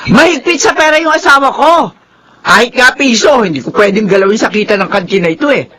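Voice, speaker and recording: Woman playing a shrill, cold, dismissive mother. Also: a little fast, slight background noise, close to an everyday voice. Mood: harsh, dismissive. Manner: sharp, scolding. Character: cold, dismissive estranged mother, selfish and irritable. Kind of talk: Filipino radio drama acting.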